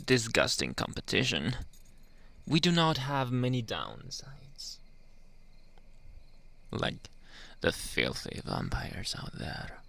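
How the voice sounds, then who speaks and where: Slow, gravelly man playing a flirty werewolf. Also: medium pitch, a bit bright, heavily acted. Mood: taunting, amused. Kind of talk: ASMR roleplay monologue.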